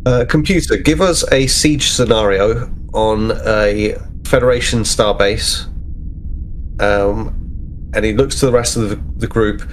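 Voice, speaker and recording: A man with a fairly low voice plays a tabletop roleplaying game. He improvises casually and haltingly, thinking aloud over faint ambient noise. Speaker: player in a roleplaying podcast.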